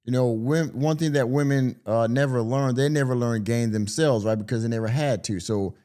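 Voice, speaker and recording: A man's voice: mid-range voice, normal speed, mellow. A man, hosting a podcast, who reads an article aloud and breaks in with drawling, sarcastic commentary. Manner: drawling, sarcastic, stretches words for emphasis. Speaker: podcast host.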